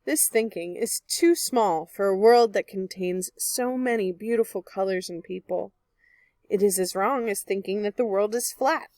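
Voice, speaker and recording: A woman's voice: mid-range voice, normal speed, darkish tone, dry recording. Woman reading a children's book aloud, dry sound. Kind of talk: children's read-aloud narration.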